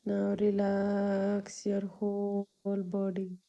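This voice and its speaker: Casting: female meditation guide, deeply mellow tone, extremely slow and calming delivery with long pauses. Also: gravelly, dull audio. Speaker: meditation guide, yoga teacher. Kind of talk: guided meditation, spoken instructions.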